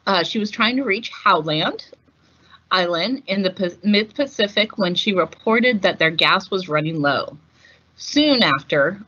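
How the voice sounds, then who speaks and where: A woman with a bright, forward voice narrates a children's picture book at a mid pitch. Her delivery is measured and deliberate, shaped for storytelling. The recording is a little dull and lo-fi. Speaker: read-aloud host.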